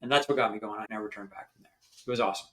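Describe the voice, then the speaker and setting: A man's voice: fairly low pitch, fairly even intonation, racing, pushed and bright. Older Canadian man telling stories. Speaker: founder and guest. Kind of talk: storytelling in an interview.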